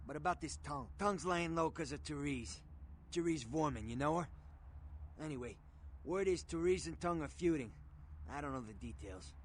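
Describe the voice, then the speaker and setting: Actor as a higher-pitched streetwise fixer. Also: male voice, lively intonation, moderate pace, a little hiss or hum, natural voice. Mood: confiding, matter-of-fact. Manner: gruff slangy tough-guy talk, matter-of-fact. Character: streetwise shady male fixer and weapons dealer. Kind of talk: video game dialogue acting.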